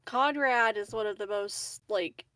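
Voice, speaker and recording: A woman playing a tabletop roleplaying game, talking casually and haltingly with a giggly, good-humored manner. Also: mid-range voice, sharp tone, no echo. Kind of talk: casual tabletop game chat, lightly in character.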